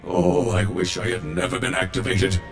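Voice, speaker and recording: Video game war droid, male actor: very low creaky voice, grandiose patriotic speech, music faintly behind. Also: monotone, moderate pace, natural voice. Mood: ashamed. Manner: formal, grandiose, verbose. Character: patriotic, honor-bound male war droid, loyal and self-reproaching.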